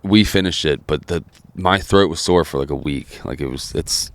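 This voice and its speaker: This is a man in his thirties from New Jersey, chatting casually on a podcast while he smokes a cigar. His voice sits extremely low, with a smooth, resonant tone. The sound is dry and close, with no room around it. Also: normal speed, dry recording. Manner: laid-back, profane storytelling. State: smoking a cigar while talking. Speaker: podcast co-host. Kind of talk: casual chat.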